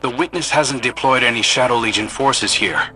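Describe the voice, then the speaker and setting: An actor playing an earnest, watchful scout agent in a video game, giving direct, conversational mission guidance. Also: male voice, medium pitch, normal speed, over music, close to an everyday voice. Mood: thoughtful.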